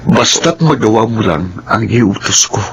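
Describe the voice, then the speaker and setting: An actor playing a scheming, hot-tempered rich son in a melodrama, domineering and threatening in manner. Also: male voice, lowish voice, animated, measured pace, background noise. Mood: falsely friendly, coaxing. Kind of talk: Filipino radio-style melodrama acting.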